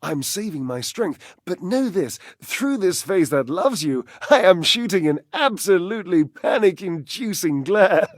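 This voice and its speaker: High, flamboyant, flirty game character. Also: male voice, lively intonation, no echo, studio quality. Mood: dramatic, teasing. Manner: theatrical, gushing, pet names and drawn-out words. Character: flamboyant, flirtatious, theatrical male living household appliance. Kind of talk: dating-game character voice acting.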